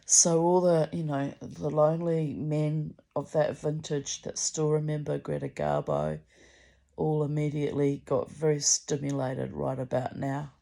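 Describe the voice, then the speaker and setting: Middle-aged woman, deep voice, heavy vocal fry, dark mellow tone, candid sweary confessional rambling, dramatic delivery. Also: level tone, a little slow. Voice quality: very deep, gravelly voice. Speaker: personal vlogger.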